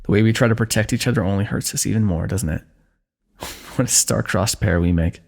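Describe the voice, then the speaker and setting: A young man speaking softly and intimately in a fantasy romance roleplay, tender and earnest, with sighs and small laughs. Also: fairly low pitch, brisk, creaky. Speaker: ASMR roleplay narrator.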